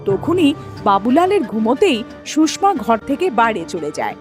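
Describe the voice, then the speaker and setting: A woman's voice: on the high side, big pitch swings, a little slow, soft background music. A woman telling a scripted ghost story as the narrator of a cartoon.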